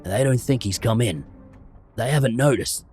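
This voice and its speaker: A man voicing a burly, flustered, plain-spoken pub barman in an audiobook, loud and urgent. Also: mid-range voice, moderate pace, faint music behind, lightly acted. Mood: anxious reporting. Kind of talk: dramatised audiobook character voice.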